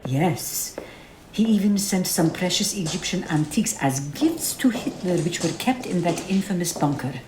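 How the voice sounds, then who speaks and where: An actress playing a composed, knowledgeable woman presenting antiquities in an audio drama, speaking in a measured, formal, explanatory manner. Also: on the low side, lively intonation, a little mellow, slight background noise, lightly acted. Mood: composed, mysterious. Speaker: actor in an audio play. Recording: roomy echo under prominent music.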